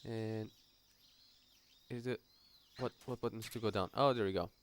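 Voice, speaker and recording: A man's voice: on the low side, fairly even intonation, normal speed, slight background noise. A man giving casual, somewhat hesitant and rambling video game walkthrough commentary as he plays. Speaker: gaming video host.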